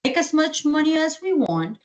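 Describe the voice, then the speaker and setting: High-voiced Colombian woman, sales trainer, lively, repeats key points. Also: normal speed, highs cut off. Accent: Colombian Spanish. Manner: energetic, repeats key points, asks and answers own questions. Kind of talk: training presentation.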